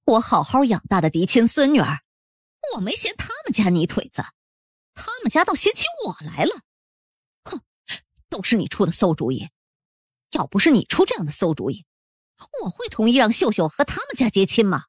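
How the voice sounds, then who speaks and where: A woman's voice: medium pitch, huge pitch swings, a little fast, long pauses, husky, no room sound, studio quality. A woman playing a haughty, indignant official's wife and grandmother in an audiobook drama, scolding and huffing.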